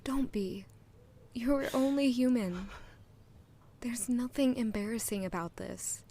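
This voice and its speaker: A woman in an audio drama playing a caring young maid, speaking gently and earnestly to reassure. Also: on the high side, slow. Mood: soothing.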